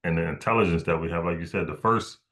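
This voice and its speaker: A man with a low voice speaks as a podcast host. His casual interviewing is halting and meandering, with words often repeated as he works toward his point. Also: fairly bright tone, soft background music.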